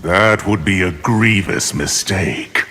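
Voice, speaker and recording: A man's voice: sits low, takes it slowly, full character voice. A man playing a scheming, power-hungry sorcerer villain in a fighting game, speaking with condescending menace. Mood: sly, menacing. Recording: long reverb, loud background noise.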